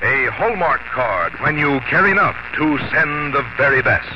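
A man announcing a vintage radio program and reading its sponsor message in a smooth, persuasive way. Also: medium pitch, animated, a little slow, very noisy. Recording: dull vintage radio broadcast with music.

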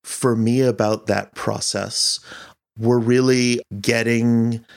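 A man's voice: medium pitch, fairly even intonation, slow, vocal fry. A man being interviewed as a director, talking casually with hesitant, searching phrasing.

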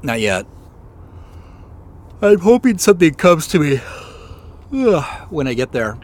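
A man's voice: a little high, sing-song, takes it slowly, darkish tone, exaggerated voice. An actor playing an introspective male scientist in a first-person audio drama, moving between narration and dialogue. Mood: casual, wry.

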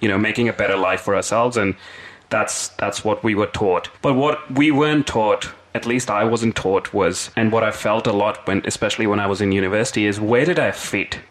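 A man's voice: on the low side, fairly bright tone. Playful male podcast co-host from India. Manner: playful, teasing, quick asides. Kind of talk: casual interview.

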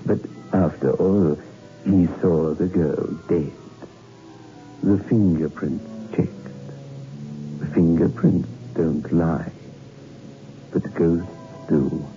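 An actor playing a sinister, teasing host of ghost tales, ominous and drawn-out, on a 1960s radio horror show. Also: male voice, deep voice, steady tone. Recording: tinny old radio, music behind.

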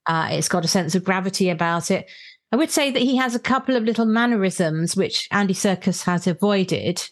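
A woman's voice: mid-range voice, a little fast. A middle-aged British woman hosting a podcast, chatting conversationally as she reviews books. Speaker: podcast host. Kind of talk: conversational book review discussion.

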